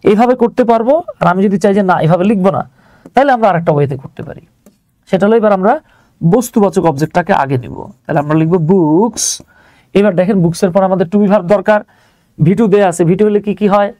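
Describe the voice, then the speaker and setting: Male tutor, Bangladeshi accent, conversational step-by-step explaining, relaxed warm tone, close dry sound. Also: high-pitched, fast, dry recording. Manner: conversational, checks on learners with rhetorical questions. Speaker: English grammar teacher. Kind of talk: informal grammar lesson, explaining step by step.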